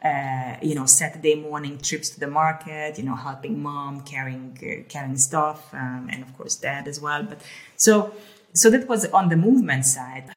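A middle-aged woman from Hungary talks in a loose, chatty way, circling back over her words as she gathers her thoughts. Her voice sits low for a woman. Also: normal speed. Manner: chatty, repeats words while thinking aloud. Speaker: co-host. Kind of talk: casual conversational talk.

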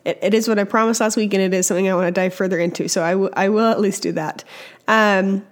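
A young woman with a slightly hoarse, scratchy voice from a cold, hosting a casual educational podcast and chatting with lots of fillers. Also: mid-range voice, fairly even intonation, fast. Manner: chatty, with many fillers and restarts. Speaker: podcast host and early childhood educator.

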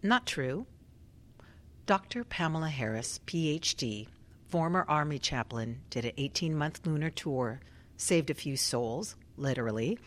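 A woman researcher with a notably low voice. She questions in a clipped, formal, measured way, keeping control like a clinician running a survey in a radio drama. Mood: probing, dry.

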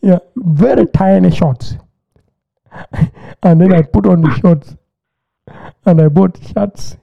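A man tells stories with lively energy, stumbling over repeated words as he acts out his reactions. His voice sits a little high. The sound comes over a thin, phone-like connection. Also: takes it slowly, creaky. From Uganda. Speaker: podcast co-host. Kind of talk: casual chat. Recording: tinny phone-like line.

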